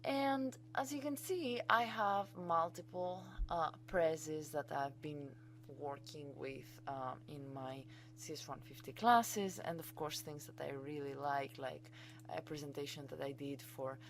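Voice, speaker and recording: A woman's voice: sits low, unhurried, a little hiss or hum, rough recording. A woman with a Greek accent, a native Greek speaker, giving an enthusiastic, chatty screencast tutorial as a college instructor.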